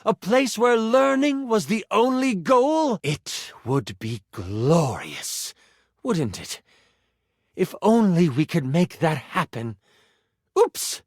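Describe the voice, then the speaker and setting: Evil djinn-fused enchantress for a game, played by a male actor: theatrical, slow gloating, wide swings of pitch, dry sound. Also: big pitch swings, no echo, full character voice. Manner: theatrical, drawn-out monologuing.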